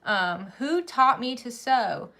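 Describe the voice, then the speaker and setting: A chatty woman in her thirties, hosting a casual sewing livestream. Her voice sits a little high, and she laughs often and restarts her sentences as she talks. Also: very animated, takes it slowly, bright, forceful tone. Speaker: sewing channel host.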